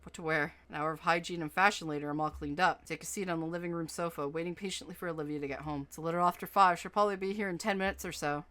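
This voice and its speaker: A woman narrating as a soft-spoken, anxious young boyfriend in a first-person story. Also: on the low side, steady tone, very fast, hardly any pauses, natural voice. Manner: quiet, fretful, self-doubting.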